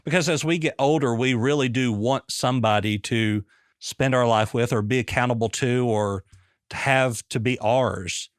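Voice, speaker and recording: A man at a middle pitch speaks calmly and directly, pressing his guest with pointed questions. The sound is dry and close, with no room around it. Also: normal speed, dry recording. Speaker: podcast host, therapist-style interviewer. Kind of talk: probing conversational interview.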